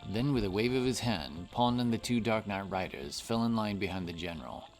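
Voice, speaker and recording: A man's voice: lowish voice, fairly quick, faint music behind. A man giving scripted narration of a fantasy story as its narrator.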